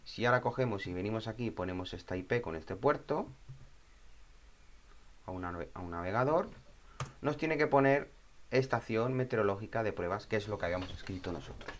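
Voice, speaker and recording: A man with a slightly low voice presents a software tutorial in an informal way. He goes step by step, thinking aloud as he works. He often drops in short questions to check that the listener is following. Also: fairly quick, a little hiss or hum.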